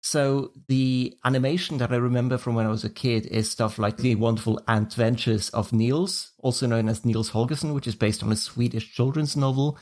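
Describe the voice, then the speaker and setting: Man from Switzerland, podcast host, steady organized delivery, mid pitch. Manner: measured, organized, leads the talk. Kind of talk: casual podcast chat.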